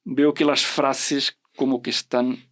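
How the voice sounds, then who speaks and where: A man whose first language is Portuguese, speaking as a podcast guest in a relaxed, reflective way, pausing often with fillers and restarting his sentences. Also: medium pitch, no echo, clean studio sound. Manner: hesitant, full of fillers and restarts; reflective, earnest. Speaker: podcast guest discussing religious prophecy. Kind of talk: casual conversational explanation.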